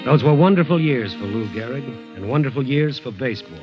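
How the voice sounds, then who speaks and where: A man's voice: medium pitch, lively intonation, lo-fi sound, over music, close to an everyday voice. A man playing a jovial wisecracking best friend. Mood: reflective. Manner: brisk, jovial. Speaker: narrator and character. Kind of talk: 1940s radio acting.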